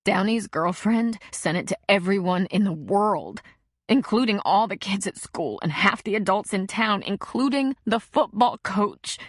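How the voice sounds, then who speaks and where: A woman's voice: medium pitch, expressive, unhurried, dry recording, clean studio sound. A woman voicing an upset, humiliated teenage girl who sounds small and tearful. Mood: distraught.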